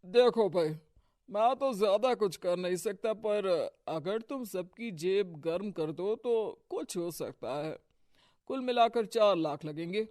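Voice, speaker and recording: A man voices a domineering small-time political husband in a cartoon moral tale. His voice is notably high and clean, and he speaks unhurriedly, with bossy self-importance. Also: expressive, slow, a little mellow, no echo, studio quality. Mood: slyly persuasive. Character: domineering corrupt husband, local strongman who runs his wife's office. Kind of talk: acted dialogue in an animated moral story.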